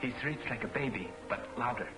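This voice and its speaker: An actor playing a dutiful, dryly humorous manservant sidekick in an old 1940s film serial. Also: male voice, medium pitch, animated, brisk, close to an everyday voice, rough recording. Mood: deferential, dry. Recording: old film soundtrack, loud music behind.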